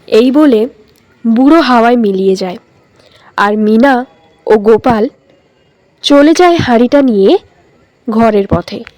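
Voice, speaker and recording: A woman's voice: fairly high pitch, lively intonation, a little slow, long pauses, a little mellow, no room sound. A woman narrating a scripted cartoon story as storyteller.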